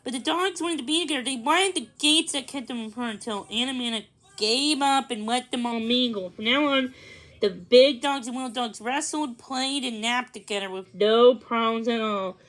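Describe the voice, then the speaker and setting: Female storybook reader, high-pitched voice, narrating for children with slightly halting delivery. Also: fairly bright tone.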